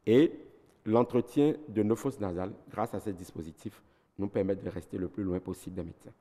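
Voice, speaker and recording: A man's voice: on the low side, fairly quick, warm tone, long reverb tail. Beninese man explaining health advice. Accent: Beninese French. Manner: didactic, lists points methodically. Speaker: ENT doctor guest. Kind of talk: health advice on a TV talk show.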